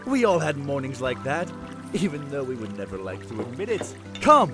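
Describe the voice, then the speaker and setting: Man playing a kindly, animated noble prince. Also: medium pitch, normal speed, over music. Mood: warm, reassuring. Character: kind, noble male prince coming to a friend's defence. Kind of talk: audio drama acting.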